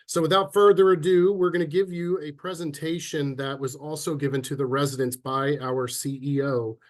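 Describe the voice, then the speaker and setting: A man with a head cold and a slightly stuffy voice, giving a relaxed, chatty online presentation with frequent ums and restarts over a computer mic. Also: medium pitch. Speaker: sales and marketing officer presenting a webinar. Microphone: computer mic on a video call.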